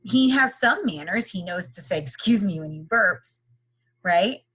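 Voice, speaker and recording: A woman's voice: medium pitch, expressive, unhurried, fairly bright tone, slightly rough, rough recording. A woman leading an interactive read-aloud lesson for young children, explaining simply and checking in with repeated questions. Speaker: teacher reading a picture book aloud.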